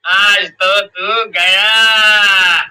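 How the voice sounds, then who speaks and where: A man voicing a vengeful, murderous ghost with threatening, drawn-out delivery in a horror story. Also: high-pitched, steady tone, bright, forceful tone, smooth, heavily acted. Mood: menacing. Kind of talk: animated horror story dialogue.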